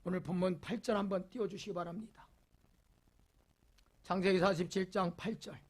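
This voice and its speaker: Husky preaching pastor, loud then whispered. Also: male voice, sits high, steady tone, normal speed. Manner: repeats rhetorical questions, swinging from loud emphasis to near whispers; leads the congregation in call-and-response reading. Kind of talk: Sunday sermon.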